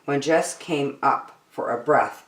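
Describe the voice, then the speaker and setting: A woman reading a children's picture book aloud as host, deliberately phrase by phrase, in an echoey room. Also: very deep voice. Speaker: storytime host and reader. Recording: roomy echo.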